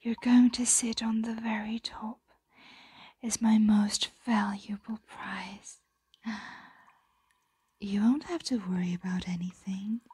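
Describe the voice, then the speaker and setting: A woman voicing a possessive, condescending ancient dragon in a breathy, coaxing ASMR roleplay whisper. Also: medium pitch, fairly even intonation, slow pace, long pauses, warm tone, no room sound.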